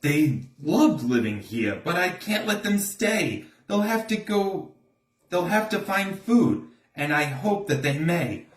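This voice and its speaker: A man reads a rhyming story aloud, theatrical and emphatic. His pitch is very animated and his pace unhurried, with clear room echo. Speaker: storybook reader. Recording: echoey room.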